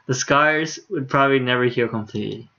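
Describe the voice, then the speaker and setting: A man's voice: medium pitch, brisk, bright, forceful tone. A man with a mid-range voice reads a visual novel aloud as a game streamer. His delivery is casual and unpolished, and the stream audio is a little dull and lo-fi. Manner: casual, stumbles over words, swears in asides.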